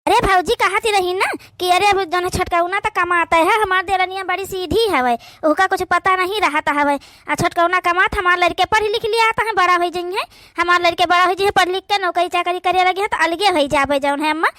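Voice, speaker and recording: A very high, closely recorded voice with an Awadhi accent opens up this meddling young sister-in-law played by a woman. She is a gossipy cartoon schemer who presses her point insistently to stir up family quarrels. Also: steady tone, brisk, pushed and bright, no room sound, studio quality. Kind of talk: village cartoon dialogue.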